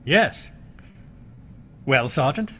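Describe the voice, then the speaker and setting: An actor playing a shrewd, commanding police inspector in a radio mystery, wry and pointed. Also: male voice, mid-range voice, lively intonation, extremely slow, pushed and bright, slight background noise, full character voice. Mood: shrewd suspicion. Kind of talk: radio mystery drama acting.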